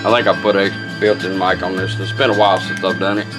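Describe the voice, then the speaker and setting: A man's voice: deep voice, flat delivery, fast talker, low-quality recording. An American man giving a casual, unscripted product demonstration as a radio restorer, plainspoken and rambling in a folksy way. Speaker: car radio restorer demonstrating his work. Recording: music playing behind the voice.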